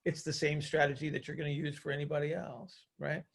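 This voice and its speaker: A man's voice: fairly high pitch, level tone, fairly quick, creaky. A man coaching on a group call in a conversational, teacherly way, often checking understanding with questions and repeating phrases for emphasis.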